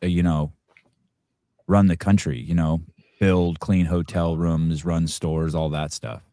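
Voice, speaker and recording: A man's voice: sits low, level tone, a little slow, warm tone, no room sound, studio quality. A middle-aged man hosting a live interview, rambling and self-deprecating with many restarts. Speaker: podcast host.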